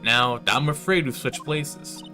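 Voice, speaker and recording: A man's voice: mid-range voice, measured pace, sharp tone, soft background music. A man voicing a beleaguered young lawyer in a game, wry and brooding. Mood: resigned. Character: beleaguered young male lawyer, dry and weary.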